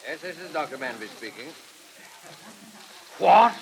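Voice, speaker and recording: A man's voice: a little high, sing-song, a little slow, gravelly, full character voice. An actor playing a grave, well-mannered doctor and father in a proper, emotive 1940s radio drama style. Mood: shocked, grave. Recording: noisy, hissing old radio recording.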